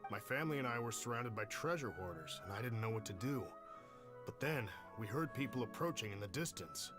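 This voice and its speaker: A welcoming villager and family man played by a male actor in a game. He speaks at a middle pitch, earnest and courteous as he chats, with faint music underneath. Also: expressive, a little fast, close to an everyday voice. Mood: earnest, concerned. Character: friendly, grateful villager, husband and father. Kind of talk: game voice acting, storytelling dialogue.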